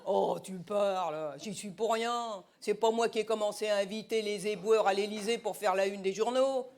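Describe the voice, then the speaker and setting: Man putting on a brash, high-voiced gangster granny. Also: normal speed. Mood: exasperated. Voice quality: mumbling around a cigarette. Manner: menacing, slangy, wisecracking in old French gangster-film style. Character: brash older female tabloid paparazzi boss, tough-talking gangster granny. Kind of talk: comic impersonation in a mock interview.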